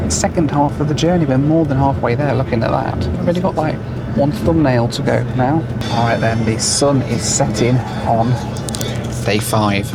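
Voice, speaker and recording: A man filming himself on a long-distance bus, hoarse and run down, talking in a tired, low-key, chatty way. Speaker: travel vlogger. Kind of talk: travel vlog with voice-over narration. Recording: loud music under the voice.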